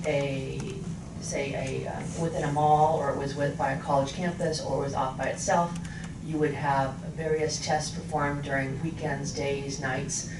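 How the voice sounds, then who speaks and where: A woman testifying at a hearing in a loud, explanatory way. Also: low voice. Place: echoey hearing room. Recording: echoey room, distant mic, hiss.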